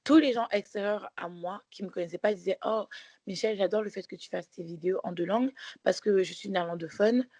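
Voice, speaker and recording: A woman's voice: medium pitch, brisk, warm tone, no echo. A woman co-hosting a podcast, telling a story in casual chat with many restarts and fillers. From Belgium. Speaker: podcast co-host.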